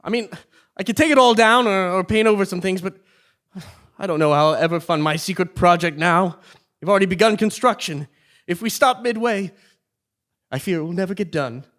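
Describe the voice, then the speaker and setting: A man's voice: high voice, a little fast, fairly bright tone. An actor playing a well-meaning, exasperated new king in a comic stage play, earnest and theatrical. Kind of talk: live absurdist comedy stage acting.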